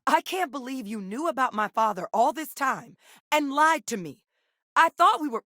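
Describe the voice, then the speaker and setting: An actress as a dedicated woman scientist from a science fiction video game. Her voice sits low and is recorded dry and close. She speaks with measured, articulate care and a grave, earnest temper. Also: dry recording, natural voice. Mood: hurt, indignant. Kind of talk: video game character dialogue.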